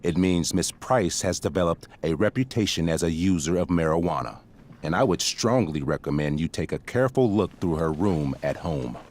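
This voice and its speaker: A low-pitched male voice for a video game drama: a middle-aged school principal. He is stern and pompous, with wordy, officious phrasing that treats every sentence as a formal ruling. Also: darkish tone.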